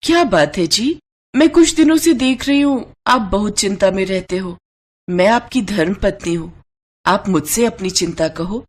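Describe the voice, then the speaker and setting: A woman's voice: mid-range voice, animated, a little slow. Earnest, devoted wife voiced by a woman. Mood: concerned. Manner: pleading, earnest. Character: devoted, concerned merchant's wife and mother. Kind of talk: devotional story dramatization.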